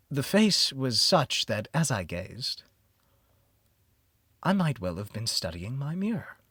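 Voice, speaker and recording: A man reads gothic horror in a theatrical, solemn manner. His delivery is slow and deliberate, with very animated pitch. The recording is close and dry. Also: slightly rough, no room sound. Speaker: audiobook narrator. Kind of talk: dramatic scripted narration of a gothic horror story.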